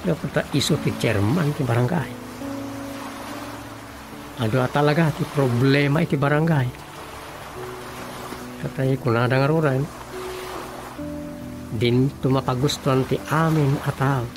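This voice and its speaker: A performer playing a calm, patient adult child advising a worried father, with an Ilocano Filipino accent, in a radio drama comedy. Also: mid-range voice, measured pace, long pauses, over music, natural voice. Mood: reflective, concerned.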